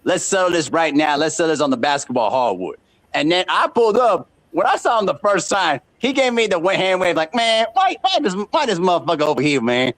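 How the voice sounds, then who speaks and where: Animated, boastful man in his thirties. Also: high-pitched, brisk. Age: 30s. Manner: boastful trash talk, repeats himself. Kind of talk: casual podcast banter.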